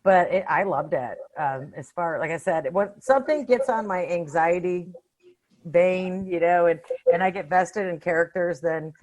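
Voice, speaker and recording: Low-voiced woman chatting about films. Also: moderate pace, darkish tone. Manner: opinionated, informal, slangy. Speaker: podcast panelist reviewing films. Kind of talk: casual film discussion.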